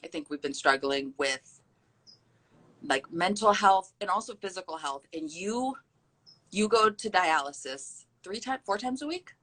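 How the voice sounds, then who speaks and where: Low bright woman host, young adult, conversational interviewer, encouraging questions. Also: lively intonation, a little slow, low-quality recording. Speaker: podcast host. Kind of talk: casual interview chat.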